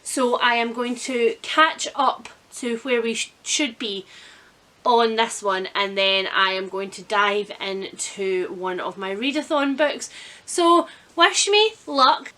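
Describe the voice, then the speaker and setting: A woman with a fairly high, clearly bright voice talks to her vlog audience. She is chatty and expressive, and pokes fun at herself as she goes in a casual, homely style. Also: takes it slowly. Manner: chatty, self-deprecating, expressive. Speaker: vlog host. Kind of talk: casual vlog chat about a reading marathon. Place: indoors at home.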